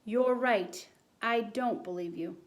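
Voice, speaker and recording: A woman's voice: fairly high pitch, takes it slowly. A woman voicing a skeptical, stern schoolteacher from a storybook, disbelieving and scolding. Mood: flatly doubtful.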